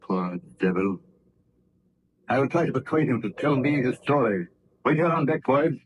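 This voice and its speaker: A man acting a calm, courteous and commanding ship's captain in an old-time radio drama, speaking formally and with measured authority. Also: medium pitch, natural voice. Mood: concerned, musing. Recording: muffled, noisy vintage radio recording.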